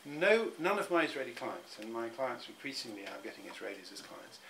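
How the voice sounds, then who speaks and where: A man's voice: mid-range voice, very animated, fairly quick, pushed and bright, a little hiss or hum, poor audio. A middle-aged man, speaking in an interview and often restarting his words as he thinks. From England. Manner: thoughtful, many restarts and repeated words. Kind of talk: reflective personal interview.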